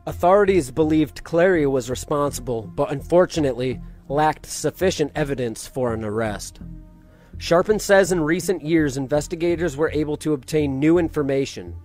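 A man narrating a true-crime case in a steady, matter-of-fact way. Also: a little high, moderate pace, faint music behind.

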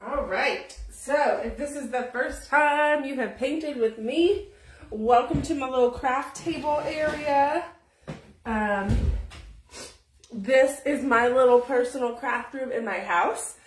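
A woman's voice: sits high, slow, far from the mic, a little hiss or hum. A middle-aged woman hosting a casual live painting tutorial from her small home craft room, chatty and rambling, laughing easily and a bit sniffly, with the phone camera often out of her reach. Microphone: phone camera above the table, often out of reach. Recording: echoey room, voice off-mic.

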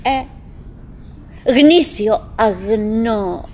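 An actress playing a cheerful, fretful middle-aged wife who muses to herself in fragments and sighs in a live stage monologue. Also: female voice, fairly high pitch, expressive, darkish tone, natural voice. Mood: wistful musing. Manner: fragmented musing with sighing exclamations. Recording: noisy 1979 live theatre recording.

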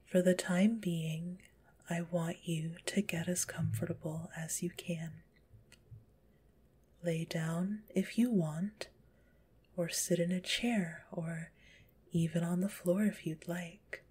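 A woman softly whispering a guided relaxation in an ASMR style, gentle and soothing, with a slightly stuffy nose. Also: lowish voice, fairly even intonation, slow pace. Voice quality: breathy whisper; stuffy-nosed. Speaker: guided visualization narrator.

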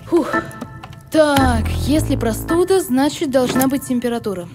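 A woman voicing a bright, polite, sensible young boy in an animated cartoon, earnest and reasonable. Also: high voice, unhurried, over music. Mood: reasoning.

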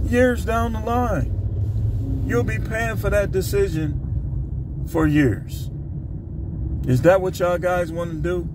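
An American man in his fifties giving blunt, streetwise advice in a casual monologue, repeating phrases for emphasis, recorded on a phone inside a car. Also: a little high. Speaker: vlogger giving relationship advice. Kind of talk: casual opinion monologue to camera. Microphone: phone at arm's length. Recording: clear car and outdoor traffic noise.

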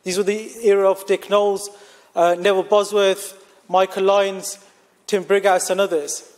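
A man's voice: high voice, little pitch movement, moderate pace. A man giving an emphatic, formal political speech as a city councillor. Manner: emphatic, oratorical, repeating phrases for effect. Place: large indoor council chamber. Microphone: gooseneck desk microphone. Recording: reverberant hall sound.

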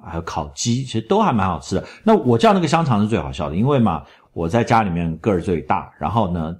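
Man, middle-aged, animated storyteller, low voice, travel voice-over. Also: fast. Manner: chatty, animated storytelling with laughs. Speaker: travel vlog co-host. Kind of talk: casual travel commentary voice-over.

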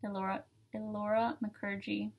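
Flat-voiced woman reading testimony aloud. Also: mid-range voice, creaky, muffled recording, background noise. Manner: steady, measured reading from paper. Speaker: reader of a legal declaration. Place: indoor room with dark curtain, seated at table.

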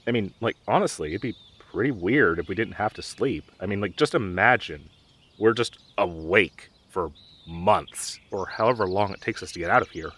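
A young man playing a dorky, nervous, protective gamer best friend in an audio roleplay, with halting, awkward delivery. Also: fairly low pitch, lively intonation, a bit bright, breathy edge. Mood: worried, musing. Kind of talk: audio roleplay drama, conversational.

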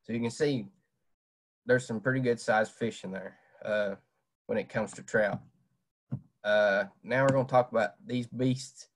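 A man's voice: mid-range voice, fairly even intonation, unhurried, long pauses. A young man, giving a casual fishing talk over a webcam with many "uh" fillers. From Southwest Virginia. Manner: plain-spoken, many "uh" fillers. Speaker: fishing presenter. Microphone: webcam on video call.

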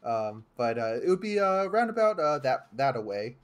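A man's voice: mid-range voice, sing-song, moderate pace. Young man rambling with lots of fillers. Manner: rambling, many fillers and restarts. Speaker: streamer playing the game. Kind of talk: casual chat while gaming. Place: home room.

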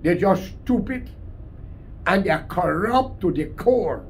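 A man's voice: high voice, expressive, slow. An older man in his sixties with a Guyanese accent, giving a combative, emphatic unscripted political monologue to camera. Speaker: political commentator. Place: small cluttered room indoors. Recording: clear background room noise.

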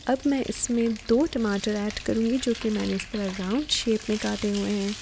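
A woman's voice: mid-range voice, steady tone, moderate pace. A woman hosting a cooking show, narrating a recipe step by step and instructing the viewer directly. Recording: clear sizzling and stirring kitchen noise. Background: frying, sizzling and stirring.

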